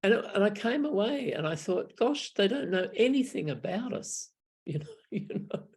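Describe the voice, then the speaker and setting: A man's voice: high-pitched, big pitch swings, normal speed, creaky, breathy edge. An older Australian man, a Buddhist monk, telling wry, reflective stories in casual talk over a video call from a small room at home. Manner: reflective, wry, chuckling, frequent restarts. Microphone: video call webcam.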